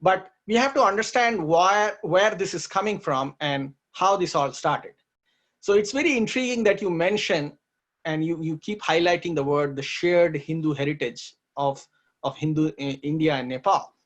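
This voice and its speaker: A man co-hosting a talk show, explaining his points in a measured way. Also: on the high side, a bit bright. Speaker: co-host. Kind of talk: conversational talk show discussion.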